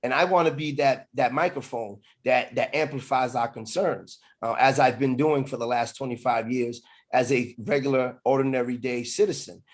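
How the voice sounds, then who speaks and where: Halting man making a campaign pitch. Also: fairly even intonation. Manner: halting, with frequent pauses and repeated phrases. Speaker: political candidate. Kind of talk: campaign speech to an online meeting. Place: online video meeting.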